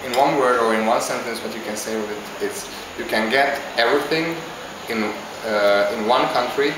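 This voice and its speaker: A man from Hungary, answering interview questions in a halting, casual way as he searches for words. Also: mid-range voice, noisy background. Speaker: interviewee, visiting traveler. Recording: very echoey with clear background ambience.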